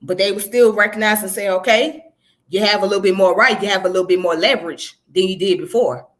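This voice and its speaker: A woman's voice: medium pitch, fast talker, fairly bright tone. A woman from Georgia gives informal educational commentary, explaining conversationally and often checking in with the listener. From southern United States, Georgia. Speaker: history presenter.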